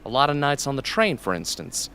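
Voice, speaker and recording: A man's voice: mid-range voice, very animated, brisk, pushed and bright, smooth. A man playing a bitter, unstable young former captive in an amateur radio drama, sarcastic and needling.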